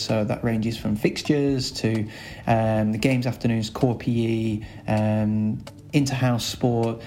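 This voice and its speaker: A man with a Lancashire accent, a school's director of sport, answering podcast interview questions in a chatty, rambling way with many filler pauses. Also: medium pitch, dark tone, a little hiss or hum. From Lancashire, England.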